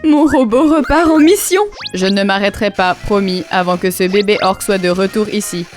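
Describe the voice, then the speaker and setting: A woman playing a lively, determined cartoon character who speaks in expressive sing-song rhymes for children. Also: mid-range voice, normal speed, lightly acted. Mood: busy, determined. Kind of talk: rhyming children's cartoon performance. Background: light music.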